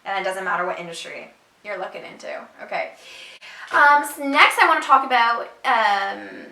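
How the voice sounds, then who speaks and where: A young woman telling her own story to her viewers in a casual, animated and emphatic way. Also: mid-range voice, normal speed, echoey room, far from the mic. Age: young adult. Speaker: vlogger.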